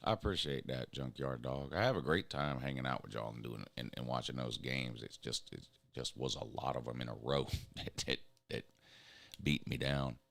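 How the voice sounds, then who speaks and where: A hoarse, raspy man ranting bluntly as a show host. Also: extremely low pitch, normal speed, a bit bright, dry recording. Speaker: show host reading chat. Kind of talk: casual sports rant.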